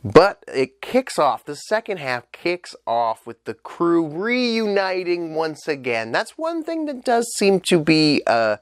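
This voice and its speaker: A man's voice: a little high, huge pitch swings, takes it slowly, few pauses, smooth, no room sound, studio quality. A young man with a stuffed-up nose, chatting casually and eagerly as he reviews an anime, with loose fillers and the odd swear. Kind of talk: casual solo review vlog.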